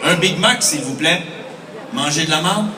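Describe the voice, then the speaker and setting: Animated Québécois comedian in his forties. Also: fairly high pitch, moderate pace. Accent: Québécois French. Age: 40s. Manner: animated, shouting punchlines, acts out dialogue. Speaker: stand-up comedian. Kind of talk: stand-up comedy routine. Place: large comedy festival hall. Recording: distant mic in echoey hall, crowd noise.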